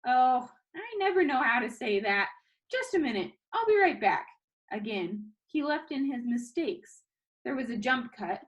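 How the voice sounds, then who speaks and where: A woman narrates a storybook like a teacher reading to students. Her voice sits a bit high and moves around a great deal. The delivery is expressive, with an informal, chatty touch. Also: unhurried.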